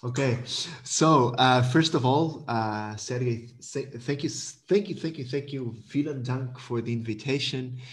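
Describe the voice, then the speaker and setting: A man's voice: mid-range voice, unhurried, hardly any pauses. A man in his thirties from Portugal, speaking English on a video call, eager and full of fillers, restarts and repeated words. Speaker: guest, community manager.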